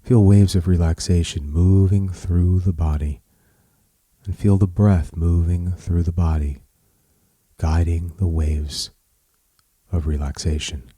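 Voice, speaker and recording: Very slow, deep-voiced man guiding a meditation. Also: steady tone, dark tone, slightly rough, no room sound. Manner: measured, soothing, pausing between short phrases. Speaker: meditation guide. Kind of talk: guided meditation narration.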